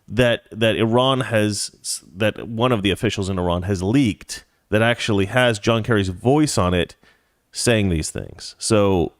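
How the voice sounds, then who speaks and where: A man's voice: on the low side, unhurried, no echo, clean studio sound. A man hosting a talk show, giving casual political commentary in a rambling, conversational way that drifts into anecdotes.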